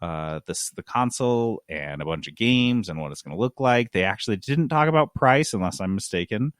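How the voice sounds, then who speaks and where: A man's voice: lowish voice, lively intonation, a little fast, no echo, clean studio sound. A man hosting a podcast in a casual gaming news chat, talking in a wry, informal way. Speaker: podcast host.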